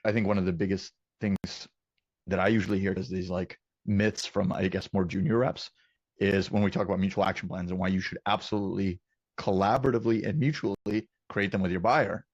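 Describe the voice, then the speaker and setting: A man's voice: low-pitched, fairly even intonation, a little fast, dry recording, dull audio. A man hosting a casual business interview, talking in an encouraging, affirming way. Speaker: interview host, company founder.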